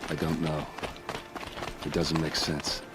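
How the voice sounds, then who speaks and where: An actor playing a gruff, grieving soldier in a video game, blunt and self-deprecating, a hard drinker bent on revenge. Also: male voice, a bass voice, completely flat delivery, slow, background noise. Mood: grim, urgent. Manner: blunt, bitter, rough-spoken. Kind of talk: video game dialogue acting. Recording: loud music behind the voice.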